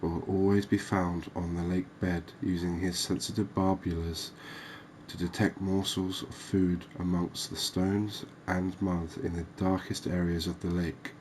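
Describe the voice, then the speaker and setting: A man with a low, even voice gives ASMR game commentary at a very slow pace. He is soft-spoken and leaves pauses as he thinks aloud, over faint ambient noise. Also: low-quality recording. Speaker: gaming video host.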